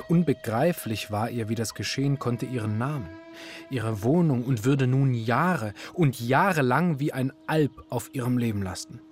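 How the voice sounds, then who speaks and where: Ein Schauspieler als ernster Erzähler eines psychologischen Hörspiels, der literarisch und gemessen spricht. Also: male voice, mid-range voice, natural voice. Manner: measured, literary, serious. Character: grave male narrator of a psychological radio drama. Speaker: narrator. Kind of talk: literary radio drama narration. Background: music.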